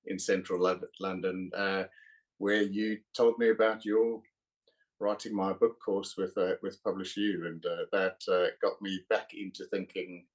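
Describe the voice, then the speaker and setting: A middle-aged man from South Africa with a South African accent, a guest author talking in a podcast interview, pausing often with fillers. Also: deep voice, level tone, low-quality recording. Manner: hesitant, many uh fillers.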